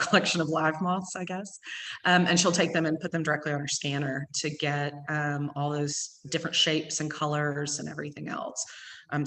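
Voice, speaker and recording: A woman with an East Texas accent, a gallery owner giving a quick, chatty tour full of ums. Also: low-pitched, a bit bright. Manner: fast-talking with many ums. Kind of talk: virtual gallery tour talk. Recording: long hall reverb.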